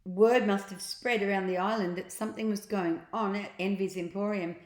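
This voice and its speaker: A woman reading a children's storybook aloud as an expressive narrator, with deliberate dramatic emphasis. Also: medium pitch, slight background noise. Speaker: storybook reader. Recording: echoey room.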